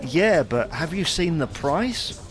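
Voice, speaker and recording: A man's voice: fairly high pitch, sing-song, slow pace, a little hiss or hum. A man playing a reluctant, stubborn husband, grumbling in dry, mild protest in a domestic comedy audio drama. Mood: dubious. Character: reluctant, stubborn, henpecked husband with poor taste.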